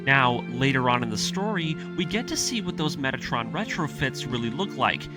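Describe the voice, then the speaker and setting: A man delivering an enthusiastic video essay as an excitable fan, informal and emphatic, with a storyteller's sense of drama. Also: mid-range voice, moderate pace. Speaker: video creator and narrator. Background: music under the voice.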